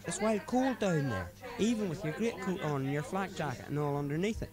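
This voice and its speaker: A man's voice: on the high side, sing-song, fairly quick, pitched above the performer's own voice. A man voicing a drunk, rowdy young male policeman who cackles and leers. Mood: boastful. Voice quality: cackling, leering, shouting. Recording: crowd noise behind.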